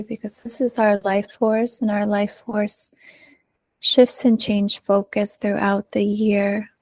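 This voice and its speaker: Slow, mellow woman guiding meditation. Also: mid-range voice, vocal fry, no echo. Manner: slow, soothing delivery with long pauses. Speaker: meditation guide. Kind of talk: guided meditation.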